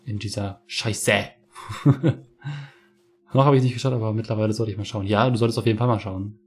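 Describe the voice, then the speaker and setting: A man's voice: fairly low pitch, steady tone, brisk, a little hiss or hum. A young man in his twenties from northeastern Germany, chatting casually and ranting over a game he plays, cursing freely. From Mecklenburg-Vorpommern. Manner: chatty, swears freely, rants. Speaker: gaming streamer. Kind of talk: casual let's play commentary. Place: home room with webcam. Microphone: headset.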